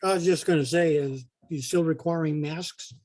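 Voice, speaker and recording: A man's voice: fairly high pitch, fairly even intonation, moderate pace, darkish tone. A man asking questions casually as an audience member on a video call.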